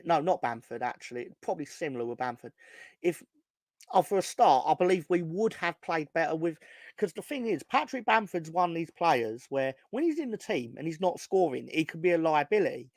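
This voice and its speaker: A hoarse man ranting on a casual football fan podcast, swearing and rambling with many restarts. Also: a little high, sing-song, brisk, darkish tone, dry recording. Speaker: podcast co-host.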